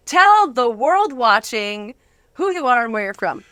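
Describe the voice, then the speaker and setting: A woman's voice: fairly high pitch, big pitch swings, a little slow, a bit bright, smooth, no room sound. A woman hosting an interview in a studio, chatting in an effusive, upbeat way. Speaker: interview host. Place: recording studio.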